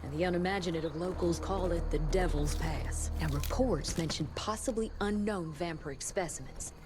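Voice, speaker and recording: An actress playing a composed, authoritative doctor who leads a team in measured, formal speech. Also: female voice, fairly low pitch, music in the background, close to an everyday voice. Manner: measured, poised, dryly formal. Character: composed, authoritative woman scientist leader. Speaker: doctor, cell leader.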